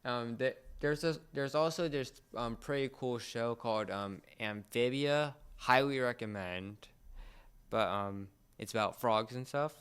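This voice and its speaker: A young man co-hosting a casual podcast chat, speaking in a halting, rambling way and often repeating words. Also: medium pitch, a bit bright, clean tone. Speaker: podcast co-host.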